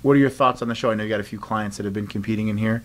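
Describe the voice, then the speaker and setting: Fast-talking, low-voiced male interviewer. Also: fairly even intonation. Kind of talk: casual, joking interview. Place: indoor backdrop set with couch at a competition venue. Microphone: handheld microphone.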